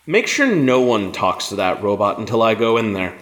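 An actor playing a stern, battle-hardened rebel commander in an audio drama, measured and commanding, with simmering anger held under control. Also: male voice, on the low side, sing-song, fairly bright tone. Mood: impassioned, intense.

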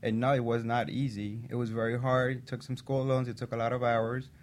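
A man who works as a physician assistant, a guest on a talk show, telling a personal story in an earnest, steady way. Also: mid-range voice, a little fast, slight background noise.

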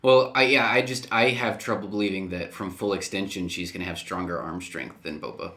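A man's voice: low-pitched, fairly quick, bright, forceful tone. A man co-hosting a casual chat, hesitant and often searching for his words. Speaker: podcast co-host.

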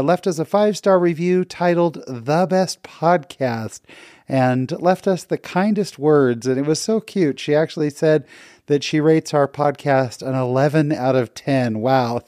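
A man's voice: medium pitch, measured pace, darkish tone, dry recording, clean studio sound. A man hosting a podcast interview, upbeat and friendly, with frequent fillers. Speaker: podcast host.

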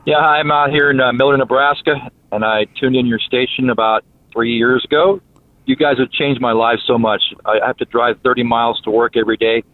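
A man's voice: mid-range voice, fairly even intonation, brisk. American man on a tinny phone line. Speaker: listener calling in. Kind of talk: message on a comment line. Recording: thin phone-line sound.

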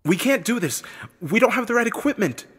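A man voicing a resolute woman nurse in an audio drama, urgent, practical and slightly halting. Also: mid-range voice, fairly bright tone, husky, pitched above the performer's own voice. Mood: alarmed, arguing. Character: brisk, resolute female nurse taking charge of surgery. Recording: music bed under the voice.

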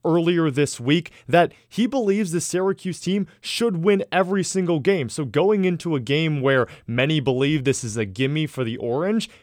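A man on sports talk radio with a fairly high voice, talking in a measured, analytical way. The studio sound is dry and close. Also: a little mellow, no echo. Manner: measured, analytical, some filler words.

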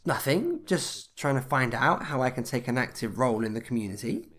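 Actor as social-climbing husband, anxious, hesitant, animated pitch, stammering, comedy audio drama. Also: male voice, brisk. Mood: defensive.

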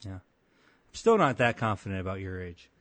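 A man's voice: deep voice, very animated, brisk. A man in his thirties hosting a podcast, with casual chat and dry, teasing humor. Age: 30s. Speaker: podcast host.

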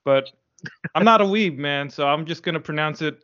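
A man from the United States co-hosting a casual podcast, laid-back with wry deadpan quips. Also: a little high, normal speed, highs cut off. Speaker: podcast co-host.

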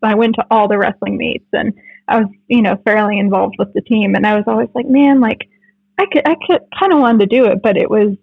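A woman's voice: medium pitch, fairly quick, gravelly, slightly rough, no room sound, phone-quality sound. A woman in her late twenties with a North American accent, chatting informally in an interview and telling stories in a loose, conversational way. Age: late 20s. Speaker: podcast guest, jiu jitsu writer.

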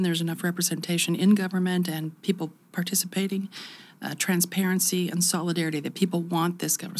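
A woman in her fifties from the United States talks through her experiences in a reflective, animated way, often backing up to restart a thought. Her voice sits a little low and carries a gravelly edge. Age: 50s. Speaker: interview guest. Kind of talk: conversational interview.

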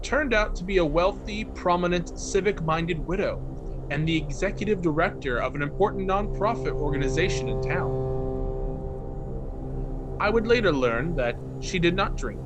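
Higher-pitched man narrating over prominent music. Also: rough recording. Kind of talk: scripted narration, reading a written account aloud.

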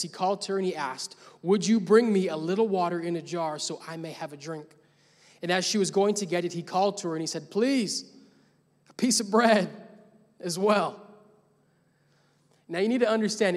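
A young adult man preaching a sermon as pastor, telling a story casually and building to emphatic points. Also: high voice. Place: large church hall. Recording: long church hall reverb.

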